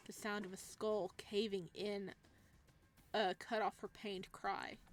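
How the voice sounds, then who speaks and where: A woman with a medium-pitched voice hosts a visual novel playthrough. She reads the narration aloud and adds casual, excitable reactions, with a giggly manner. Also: slow. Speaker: gaming channel host.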